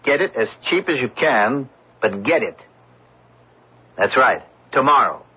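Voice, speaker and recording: A man playing an impatient, cold-blooded, domineering middle-aged businessman husband in a radio drama, with a rough, husky voice. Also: medium pitch, expressive, measured pace. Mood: brisk, commanding. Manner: domineering, dismissive, forceful phrasing. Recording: dull lo-fi radio broadcast recording.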